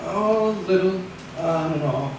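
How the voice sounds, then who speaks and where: An actor playing a weary, stubborn middle-aged husband in a 1940s radio drama, speaking in a terse, brooding way. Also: male voice, high voice, a little slow. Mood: weary, despairing. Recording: echoey, noisy old radio recording, distant.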